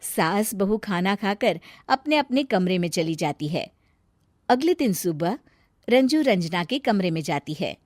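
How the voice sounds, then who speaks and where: A woman narrator reads a scripted tale in storybook fashion. Her delivery moves up and down with lively intonation, and the recording is close and dry. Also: medium pitch, measured pace, dry recording.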